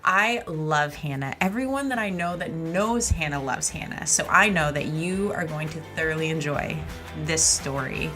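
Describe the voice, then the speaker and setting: Woman, podcast host, slightly lower pitch, informal effusive chat, faint music at times. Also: normal speed. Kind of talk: casual podcast intro and chat.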